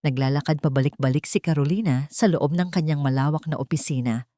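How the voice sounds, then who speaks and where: A woman narrating a love drama with an expressive, melodramatic reading. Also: low-pitched, brisk, no echo, studio quality. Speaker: storyteller.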